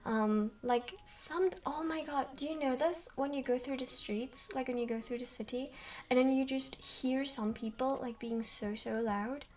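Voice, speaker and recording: Soft-spoken high-voiced young woman. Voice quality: soft, quiet voice. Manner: slow, rambling, many ums. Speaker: streamer. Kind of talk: soft-spoken livestream chat. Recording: distant from mic.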